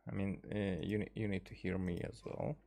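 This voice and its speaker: A man livestreaming a game in a casual, rambling way, often halting and trailing off mid-thought. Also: low-pitched, steady tone, vocal fry, warm tone. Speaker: game streamer. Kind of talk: casual livestream chat over gameplay.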